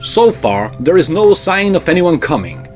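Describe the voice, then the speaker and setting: A man playing an earnest, resolute 1850s gentleman in a period audio drama, speaking formally and gallantly. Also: on the high side, sing-song, moderate pace, soft background music. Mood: urgent, determined.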